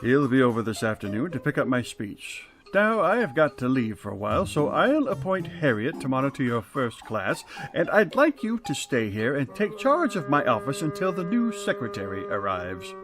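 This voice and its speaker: An actor playing a grouchy, pompous school principal in a comedic radio play, with a blustering manner. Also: male voice, medium pitch, big pitch swings, normal speed, hardly any pauses, over music. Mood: brisk, self-important. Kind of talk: comedic old-time radio play acting.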